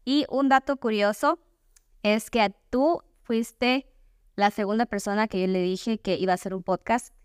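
A woman's voice: mid-range voice, steady tone, measured pace, clear, resonant tone, no room sound, clean studio sound. A woman with an Ecuadorian accent hosting a podcast in a conversational style. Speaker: podcast host.

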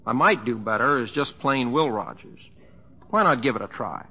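An actor playing an easygoing, restless young cowboy in an old radio drama. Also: male voice, mid-range voice, animated, normal speed, husky. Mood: earnest. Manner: drawling, plain-spoken, folksy. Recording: dull old radio recording.

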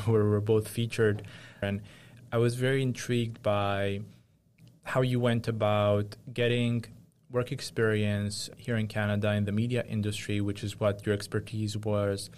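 A man's voice: on the low side, darkish tone, slight background noise. A man hosting an interview show, asking long, polite questions and giving short affirmations. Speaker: host.

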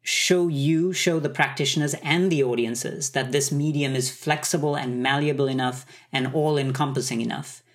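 A man from Sri Lanka, a conductor, answering interview questions in a thoughtful, measured way. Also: mid-range voice, steady tone. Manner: thoughtful, measured, some hesitations. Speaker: conductor, guest.

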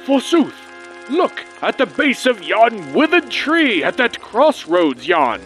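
An actor playing a zealous, pompous crusader knight, declaiming in stagey mock-archaic radio play style. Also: male voice, sits high, wildly swooping pitch, slow pace, a bit bright, soft background music, exaggerated voice. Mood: zealous, grand. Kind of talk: parody 1940s radio play acting.

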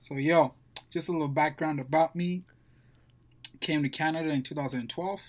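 A man's voice: on the high side, moderate pace, darkish tone. A young man from Zimbabwe sharing his background in a casual podcast chat, using easy slang. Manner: casual slang, addresses friends as bro.

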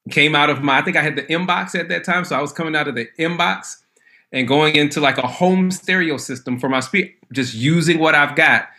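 Bright-voiced easygoing man chatting. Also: fairly high pitch, fairly quick. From Lansing, Michigan, USA. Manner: easygoing, rambling with frequent restarts. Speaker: guest, a cappella artist. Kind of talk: casual conversation.

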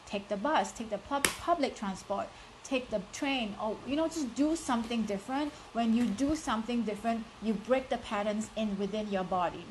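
A woman's voice: fairly high pitch, normal speed, slight background noise, low-quality recording. A woman in her thirties with a strong Singaporean accent, a life coach giving a live motivational talk and speaking straight to her viewers, full of "like" and "you know". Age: 30s. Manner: direct and encouraging, addresses the audience, many "like" and "you know" fillers.

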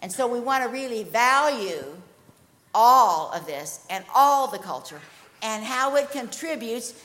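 An older woman speaks to a congregation in an earnest, emphatic sermon style. Her voice sits fairly high. A long hall reverb trails after each phrase. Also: lively intonation, unhurried, a bit bright. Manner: earnest, emphatic, joking asides. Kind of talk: sermon-style panel hosting.

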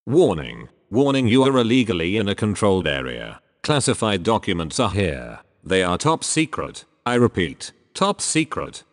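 A man voicing a formal computer security system that speaks in flat, clipped announcements. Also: fairly low pitch, normal speed, few pauses, big-room sound. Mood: warning.

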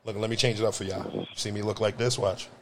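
Profane, loud joking New man. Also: fairly even intonation, quick pace, noisy background. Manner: loud, profane, joking, swings pitch high when riffing. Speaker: podcast host. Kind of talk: crude comedic banter.

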